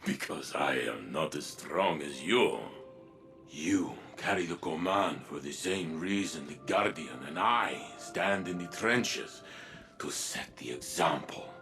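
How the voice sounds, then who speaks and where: Weary old-guard warrior: very low male voice, slow and solemn, game cutscene with music underneath. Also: expressive, pushed and bright, exaggerated voice. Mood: solemn, encouraging. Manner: measured, solemn. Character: weary, humble veteran warrior and former commander. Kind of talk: dramatic game cutscene dialogue.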